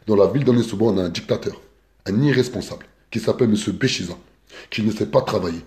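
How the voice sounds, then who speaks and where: A man from France making an earnest, deliberate political appeal as an activist spokesperson, repeating key words for emphasis. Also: fairly low pitch, mellow. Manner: earnest, repetitive, stresses words like "exactement" and "simple"; deliberate, measured delivery. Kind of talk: political appeal, live video.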